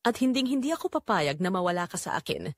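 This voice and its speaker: A woman voicing an earnest, devoted husband in an audiobook, soft-spoken and tender. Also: on the low side, big pitch swings, fast talker, dry recording, studio quality. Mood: longing, determined. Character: gentle, earnest first husband, longing and devoted. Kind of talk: audiobook character dialogue.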